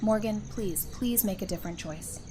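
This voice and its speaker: A woman's voice: mid-range voice, moderate pace, dark tone, slight background noise, close to an everyday voice. A woman playing a brave, compassionate female fighter in an audio drama. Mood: pleading.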